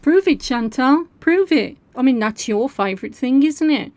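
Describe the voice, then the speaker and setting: A woman's voice: high-pitched, expressive, moderate pace, a little mellow. A woman hosting reaction commentary, talking in a sarcastic, scolding way. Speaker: commentary host.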